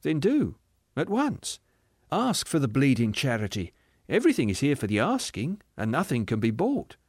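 A male narrator takes on a happy young spirit in an audiobook. He is forgiving, amused and softly coaxing. The pitch sits raised and very mobile, in a dry, close sound. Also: huge pitch swings, moderate pace, breathy edge, dry recording. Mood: cheerful, reassuring. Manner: gentle, amused, lightly coaxing. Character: joyful, forgiving young male heavenly spirit, once a murderer. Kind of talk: dramatised audiobook reading.

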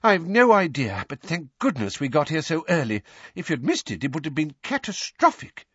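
A man voicing an anxious, hurried wizard father, agitated and breathless, in a storybook reading. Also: lowish voice, huge pitch swings, a bit bright, husky, no room sound, muffled recording, heavily acted. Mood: urgent, anxious.

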